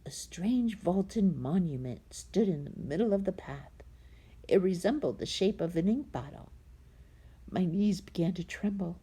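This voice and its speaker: A woman reading a children's story aloud in a dramatic, suspenseful manner, with a husky, breathy voice that drops into hushed tones. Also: fairly low pitch, animated, a little slow. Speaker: storybook reader.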